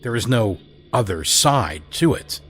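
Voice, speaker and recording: A man narrating an audio drama in a measured, dramatic storytelling manner. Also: mid-range voice, animated, fairly bright tone, soft background music.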